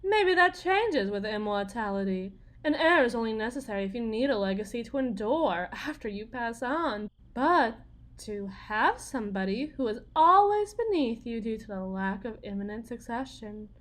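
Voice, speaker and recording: A woman playing a desperate, cunning battered young mother bargaining in a dramatic audio roleplay, halting, wry and theatrical. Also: a little high, animated, lightly acted. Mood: coaxing, bargaining. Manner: halting, wry, theatrical, with repeated words and pauses.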